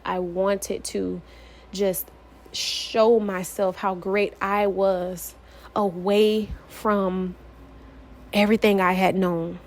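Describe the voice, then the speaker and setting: A woman's voice: medium pitch, level tone, takes it slowly. A young woman from Florida, sharing her personal testimony in an earnest, emphatic way, repeating phrases to drive them home. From United States, Florida.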